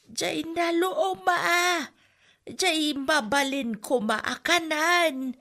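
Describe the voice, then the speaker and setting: High, slow elderly Ilocano mother. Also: sharp tone, full character voice. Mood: pleading. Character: ailing, nagging elderly mother of a bachelor son. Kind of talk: Ilocano radio comedy drama acting. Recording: music under the voice.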